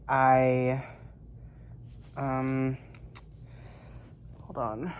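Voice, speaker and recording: Young woman, very deep voice, stuffy and congested, rambling casual crafting vlog. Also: darkish tone, slight background noise. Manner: chatty, rambling, many fillers. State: congested, recovering from illness. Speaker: cross-stitch vlogger. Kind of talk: casual chat to camera.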